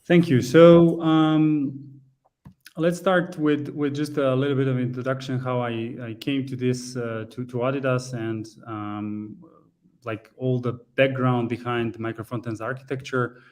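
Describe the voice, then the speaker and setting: A man from Serbia walks through his talk at a mid pitch, hesitating often with fillers and small restarts. His delivery is that of a conference presentation. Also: flat delivery, a little slow, a little mellow. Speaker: guest speaker, frontend engineer.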